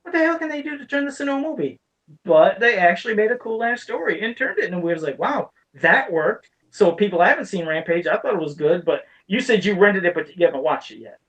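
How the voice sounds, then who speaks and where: High-voiced, fast-talking man, a bit off-mic. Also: lively intonation, a bit bright, distant mic, muffled recording. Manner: emphatic, blunt, swearing. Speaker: podcast co-host. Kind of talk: casual, rambling movie chat.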